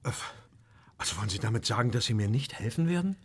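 A man playing an anxious English gentleman in a German audio drama, telling his story in an earnest, formal, measured way. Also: mid-range voice, lively intonation, husky. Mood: agitated.

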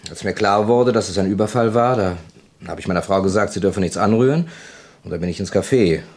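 A courteous son-in-law in a vintage radio thriller. His voice is deep, his manner measured and deferential, with small hesitations as he explains himself. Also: a little mellow. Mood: cooperative. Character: polite, hesitant son-in-law, married businessman. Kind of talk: 1970 German radio play acting.

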